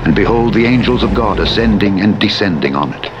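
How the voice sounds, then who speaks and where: Deep-voiced man reading scripture over engine rumble. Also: animated, highs cut off. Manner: measured, formal, declamatory phrasing. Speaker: Bible audiobook narrator. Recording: played in a game over rumbling truck engine noise. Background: vehicle rumble from a truck simulator game.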